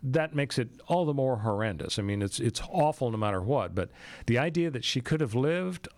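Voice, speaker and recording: A man's voice: mid-range voice, expressive, normal speed, no room sound. An American man hosting a talk show, commenting on the news in a conversational, opinionated and emphatic way.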